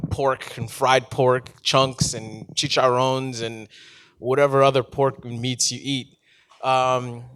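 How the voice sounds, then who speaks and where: Bright, clear-voiced New spoken-word man. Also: medium pitch. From New York City, United States. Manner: animated, playful, engaging the audience directly. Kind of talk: live spoken-word performance with casual banter. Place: live event before an audience.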